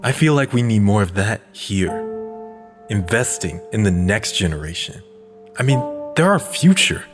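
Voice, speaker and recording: Man acting calm young man, deep voice, thoughtful, sincere, audio drama, long reverb. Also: over music. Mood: sympathetic. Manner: measured, analytical, sincere.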